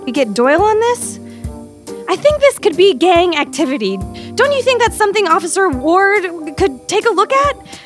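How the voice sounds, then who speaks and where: Woman playing a high-voiced, pushy teen girl. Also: sing-song, moderate pace, soft background music. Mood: insistent. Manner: emphatic, insistent, sassy. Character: Sally Greer, pushy, earnest teenage girl student journalist. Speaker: voice actor. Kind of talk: audio drama acting.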